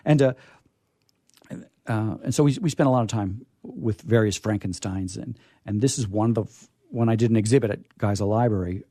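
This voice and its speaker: Casting: American male radio host, soft-edged warm tone, dry studio sound, keen but rambling and halting casual talk. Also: fairly low pitch, no room sound. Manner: halting, discursive, with emphatic repetition. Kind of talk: casual book chat.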